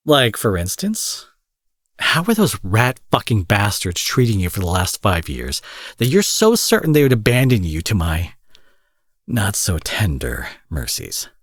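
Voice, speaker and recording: Man acting a husky, protective supervillain, expressively swinging pitch. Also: dry recording, clean studio sound, exaggerated voice. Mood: tender, concerned. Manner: sweary, emphatic, coaxing with pet names. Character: angry, protective adult male supervillain, menacing yet tender. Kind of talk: intimate dramatic audio roleplay monologue.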